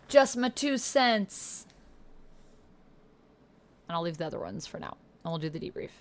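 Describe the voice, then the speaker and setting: A woman's voice: lowish voice, lively intonation, moderate pace. A woman hosting a livestream, chatting informally, thinking aloud and reading on-screen text. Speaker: livestream host and player.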